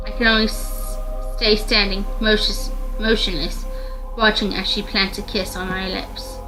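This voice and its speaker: A woman reading a visual novel aloud as dramatic first-person narration, slightly halting in her delivery. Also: mid-range voice, level tone, over music, low-quality recording.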